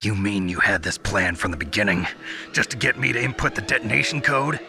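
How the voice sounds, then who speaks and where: Low, terse soldier hero played by a man. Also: fairly quick, natural voice. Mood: suspicious, demanding. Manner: terse, incredulous questioning. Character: male soldier hero, terse, suspicious and demanding. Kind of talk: video game cutscene acting.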